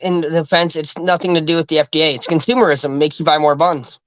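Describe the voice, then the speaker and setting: A man co-hosting a casual chat, congested, speaking in a dry, deliberate way and correcting the others. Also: a little high, steady tone, a bit bright, no room sound, poor audio. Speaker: co-host.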